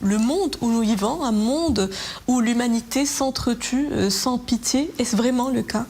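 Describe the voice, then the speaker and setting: A woman hosting a cultural segment on a television news program, her voice fairly high. She asks long, measured questions with an occasional filler. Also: a little mellow. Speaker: TV news presenter. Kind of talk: TV news interview. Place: TV studio.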